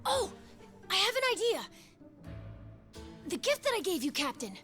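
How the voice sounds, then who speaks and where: A woman playing an excitable, loyal and indignant young boy in a video game cutscene. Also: extremely high pitch, normal speed, soft background music, exaggerated voice. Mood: excited.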